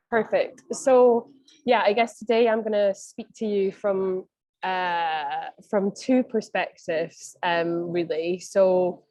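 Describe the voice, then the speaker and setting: A woman's voice: on the low side, a little mellow, no echo, rough recording. A young woman with a Scottish accent giving a chatty presentation on a video call from a cafe, with plenty of ums and restarts. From Scotland. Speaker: guest speaker, senior advisor.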